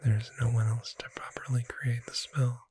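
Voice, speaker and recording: Asmr performer doing a kindly bookshop witch, super breathy whisper, mellow n unhurried, soothing roleplay. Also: mid-range voice, flat delivery. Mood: gentle, soothing. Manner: slow, gentle, soothing, addressing the listener directly. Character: gentle, reassuring witch who runs a bookshop.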